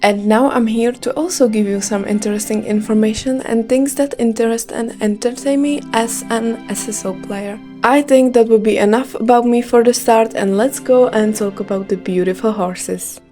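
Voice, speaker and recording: A woman's voice: a little high, steady tone, normal speed, hardly any pauses, warm tone, soft background music. A young Czech woman with a light Czech accent, reading a scripted gaming video with care. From Czech Republic. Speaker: gaming YouTuber.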